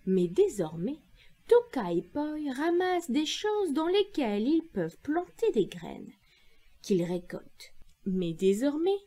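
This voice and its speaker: A woman reads a children's audio story. Her high voice moves through wide melodic swings at an unhurried pace, in the expressive manner of a storyteller.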